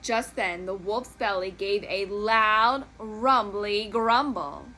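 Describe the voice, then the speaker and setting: A woman storyteller reading a children's tale in an expressive, dramatic, emphatic style. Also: mid-range voice, slow, faint music behind.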